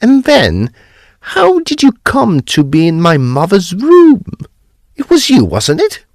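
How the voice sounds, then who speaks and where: An actor playing an earnest Edwardian gentleman, recounting a mystery in formal, emphatic phrases for an audio drama. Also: sits high, wildly swooping pitch, slow, dry recording, clean studio sound, heavily acted. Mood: astonished. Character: earnest, animated Edwardian English gentleman telling a ghost mystery. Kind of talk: period audio drama storytelling.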